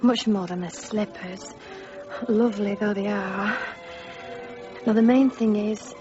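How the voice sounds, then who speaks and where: An actress playing a young village beauty who speaks softly and with feeling in a radio play. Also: female voice, medium pitch, steady tone, lightly acted. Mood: tender. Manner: soft, slow, heartfelt. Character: proud young village beauty, now remorseful. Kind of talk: radio drama acting. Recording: muffled lo-fi radio recording, faint music.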